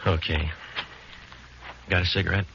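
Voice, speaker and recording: An actor playing a terse, cool-headed police detective who also narrates, in a clipped vintage radio drama style. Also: male voice, low-pitched, steady tone, normal speed, gravelly, a little hiss or hum, exaggerated voice. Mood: weary, low. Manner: terse, matter-of-fact. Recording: muffled vintage radio recording, loud music.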